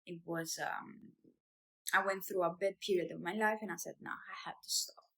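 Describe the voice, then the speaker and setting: A woman's voice: lowish voice, fairly even intonation, moderate pace, creaky, pushed and bright. A woman in her thirties from Italy with an Italian accent, speaking English as a second language, talking casually and hesitantly with fillers and restarts in her own vlog. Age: 30s. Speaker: vlogger, business owner.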